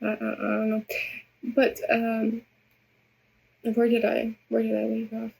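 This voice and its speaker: A woman's voice: fairly high pitch, completely flat delivery, unhurried, gravelly, a little mellow, husky, rough recording. A woman whose first language is Russian, talking unscripted to her viewers into a phone camera, rambling and stammering through many restarts. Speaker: vlogger addressing viewers. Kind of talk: unscripted personal monologue.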